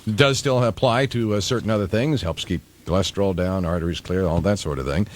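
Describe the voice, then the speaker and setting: A man's voice: deep voice, brisk, slight background noise. A man reading news items on a radio show and adding his own offhand remarks. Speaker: radio newsreader and sidekick.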